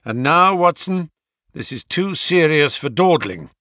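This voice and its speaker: A man voicing a cool, polite gentleman detective with an imperturbable, wry manner in an audiobook story. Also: fairly high pitch, expressive, measured pace, dry recording, studio quality, pitched above the performer's own voice. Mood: brisk, serious. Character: cool, bland, amused male gentleman detective.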